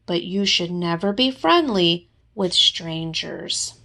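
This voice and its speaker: A woman's voice: on the low side, expressive, takes it slowly, gravelly, bright, forceful tone, full character voice. A woman playing a kind, sensible young boy who gives friendly, instructive advice in a storybook. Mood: advising.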